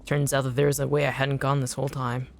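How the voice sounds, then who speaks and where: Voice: mid-range voice, little pitch movement, quick pace, slight background noise. A streamer whose first language is Anishinaabemowin, chatting casually with viewers while playing, swearing and yelping at enemies mid-sentence. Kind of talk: casual gaming stream chat.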